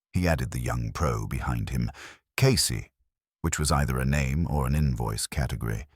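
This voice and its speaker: A man narrating a detective story in the first person, with a measured, dry, literary delivery. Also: sits low, no echo. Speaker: audiobook narrator.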